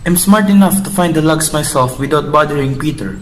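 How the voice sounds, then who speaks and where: A man's voice: fairly high pitch, brisk, close to an everyday voice. A man playing a cheeky serving man in an amateur radio drama. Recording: echoey room, faint noise.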